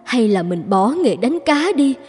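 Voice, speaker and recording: A poor, worn-down fisherman's wife and mother in a cartoon fairy tale. She talks slowly and wearily, with music behind her. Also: fairly high pitch, big pitch swings, darkish tone, slightly rough, big-room sound, heavily acted. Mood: weary, worried. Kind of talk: animated fairy-tale dialogue.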